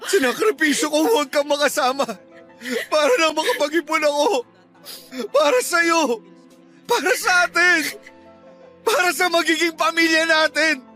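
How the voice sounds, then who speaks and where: A heartbroken, betrayed suitor in a Filipino radio drama. His voice is extremely high and very forceful, anguished and accusatory, over a music bed. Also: moderate pace. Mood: pleading, hurt. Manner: anguished, accusatory, repeats himself in outbursts. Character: betrayed, heartbroken suitor Diego, enraged and anguished adult man.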